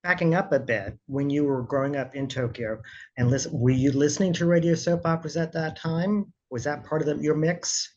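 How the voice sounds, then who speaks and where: A man's voice: a little high, fairly quick, darkish tone, highs cut off. A man interviewing a guest conversationally, with loose, informal phrasing.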